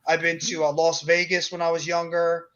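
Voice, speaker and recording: A man's voice: a little high, little pitch movement, moderate pace, fairly bright tone. A young man in casual chat who eagerly lists places, with many fillers. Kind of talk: casual chat on a live call. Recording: dull lo-fi call audio with crowd noise.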